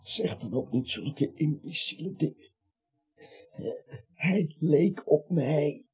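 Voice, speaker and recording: An actor playing a dying, irritable and absurdly witty little man in a comic radio play, husky and wry. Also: fairly high pitch, expressive, takes it slowly, darkish tone, heavily acted. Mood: weak, testy. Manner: testy, wry. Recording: dull lo-fi radio recording.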